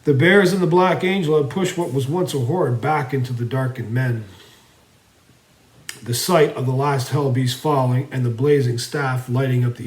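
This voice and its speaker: A man narrating a fantasy story theatrically, swinging between emphatic and hushed delivery. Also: medium pitch. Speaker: storyteller reading own fantasy series. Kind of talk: dramatic fantasy audiobook narration. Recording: roomy, echoing voice recording.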